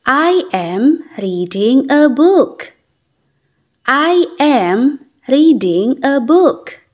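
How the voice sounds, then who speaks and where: Brief: young woman teaching kids, deliberate drill delivery, bright high voice, very slow and sing-song. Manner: clear, deliberate, lively intonation. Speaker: English teacher for children. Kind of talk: slow repeated phrase drills with translations.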